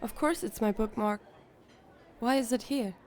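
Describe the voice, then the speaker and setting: A woman's voice: on the high side, measured pace, darkish tone, close to an everyday voice. A woman voicing an earnest, emotional young woman from South Korea. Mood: puzzled. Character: eager young woman, Bumsuk.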